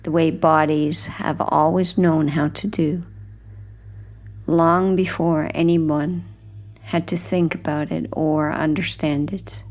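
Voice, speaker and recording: A woman guiding a sleep hypnosis in a gentle, hushed, soothing scripted narration, unhurried, with phrases that trail off softly. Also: low voice, flat delivery.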